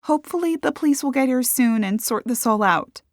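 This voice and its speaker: A narrator plays a practical woman on the defensive in a mystery audiobook. Her voice rises high and jumps about as she speaks emphatically. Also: lively intonation, brisk, dry recording, clean studio sound. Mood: practical, firm. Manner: emphatic, exclaiming.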